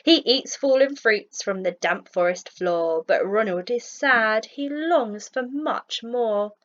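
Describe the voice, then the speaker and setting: A woman with a fairly high voice reads a rhyming picture book aloud like a teacher. Her delivery is slow and expressive, and the recording sounds a little dull. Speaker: teacher reading a story. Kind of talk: children's rhyming storybook narration.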